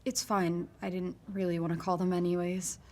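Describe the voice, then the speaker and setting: A young actress playing a polite, vulnerable teenage hitchhiker in an audio drama, speaking hesitantly and self-effacingly. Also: female voice, fairly low pitch, little pitch movement, normal speed, darkish tone, natural voice. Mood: flustered, hesitant. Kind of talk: naturalistic audio fiction acting.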